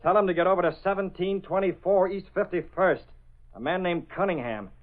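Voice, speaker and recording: Actor as an insistent, higher-pitched vigilante tipster. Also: male voice, brisk, close to an everyday voice. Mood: urgent, insistent. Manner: terse, insistent, authoritative. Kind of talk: 1930s radio drama acting. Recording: muffled old-time radio recording.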